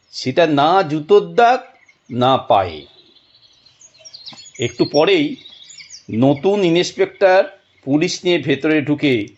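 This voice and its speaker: A man narrating a detective audio drama in an expressive, dramatic storytelling manner with deliberate pauses. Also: on the high side, highs cut off, a little hiss or hum.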